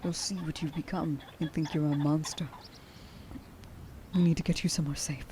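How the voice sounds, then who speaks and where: Woman playing a soft, mellow, tender young huntress. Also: sits low, fairly even intonation, normal speed, slight background noise, natural voice. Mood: earnest, reassuring. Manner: soft, breathy, often dropping to whispers. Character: tender, emotional young huntress reunited with a friend. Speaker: voice actor. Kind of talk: ASMR roleplay monologue.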